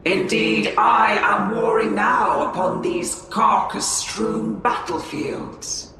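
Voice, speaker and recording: An actress playing an ancient, grandiose alien sage in a game cinematic, portentous and declamatory. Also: female voice, on the high side, fairly even intonation, slow pace, fairly bright tone. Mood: ominous, brooding. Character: ancient, grandiose narrator addressing a cursed world. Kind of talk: dramatic game cinematic monologue. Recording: cavernous echo, distant, noisy.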